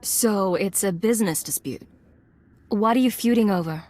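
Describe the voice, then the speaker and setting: A woman's voice: medium pitch, normal speed, a little mellow, natural voice. A woman playing a cool, self-assured undercover agent in a video game, speaking in terse, confident lines. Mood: probing. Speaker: voice actor in a game. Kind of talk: video game cutscene acting.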